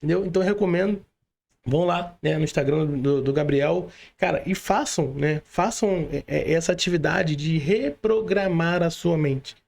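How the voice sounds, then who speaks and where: A man's voice: on the high side, normal speed, creaky, a little mellow. A Brazilian man hosting an online interview in informal, slangy speech, often adding little tag questions. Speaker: podcast host.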